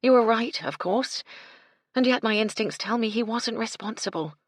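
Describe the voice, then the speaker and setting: A woman voicing a gracious, shrewd lady proprietor who speaks politely with a gently wry edge. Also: a little high, normal speed, no echo, studio quality, voice pushed up, close to an everyday voice.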